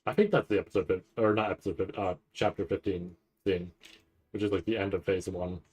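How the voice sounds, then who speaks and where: A man giving casual, off-the-cuff commentary on a video, thinking aloud in a halting way and often repeating himself. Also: deep voice, a little mellow, husky. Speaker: anime reaction streamer.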